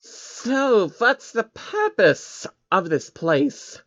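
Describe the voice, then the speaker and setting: A man voicing a naive, excitable male insectoid pony creature from a fan storybook reading, curious and wide-eyed. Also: sits high, very slow, highs cut off, voice pushed up, heavily acted.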